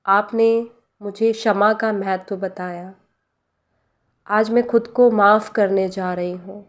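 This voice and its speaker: Very slow-speaking Indian woman guiding meditation. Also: mid-range voice. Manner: slow, gentle, deliberate pauses, repeated phrases. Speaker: guided meditation leader, life coach. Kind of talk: guided meditation, spoken prayer.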